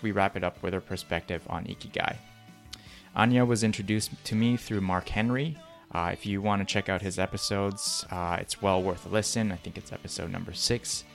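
A man hosting a podcast, reading a measured intro and then asking questions with occasional ums. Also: deep voice, vocal fry. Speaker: podcast host.

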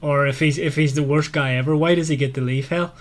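A man's voice: on the high side, fairly even intonation, quick pace. A man hosting a podcast, discussing a book in an informal, loosely phrased conversational way. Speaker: podcast host.

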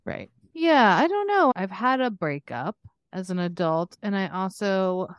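An American woman in her thirties chatting casually as a podcast co-host, rambling and quick to laugh. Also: medium pitch, sing-song, dry recording, clean studio sound. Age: 30s. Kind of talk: casual chat.

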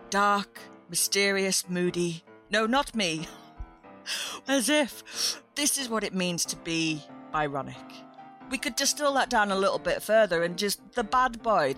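This is a woman presenting a chatty history podcast. Her voice sits at a medium pitch, and her manner is cheeky, exclamatory and self-mocking. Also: fairly bright tone. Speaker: podcast host.

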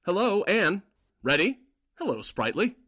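A man's voice: high voice, sing-song, unhurried, fairly bright tone, heavily acted. An actor playing a duplicitous henchman with a coaxing, placating manner in a 1940s-style radio drama. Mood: falsely friendly. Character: smooth-talking, duplicitous male henchman posing as friend.

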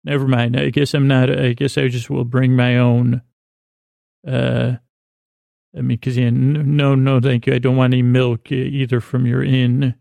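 Creaky-voiced, rambling man. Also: mid-range voice, level tone, mellow, dry recording. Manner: meandering, halting, repeats and trails off mid-thought; whimsical, self-deprecating. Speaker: podcast host. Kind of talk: rambling bedtime podcast monologue.

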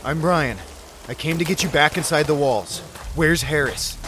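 A man's voice: a little high, moderate pace, natural voice. A man playing a protective zombie-apocalypse survivor in an audio drama, speaking with urgent command. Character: gruff protective male zombie-apocalypse survivor escorting a stray. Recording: battle ambience noise behind voice.

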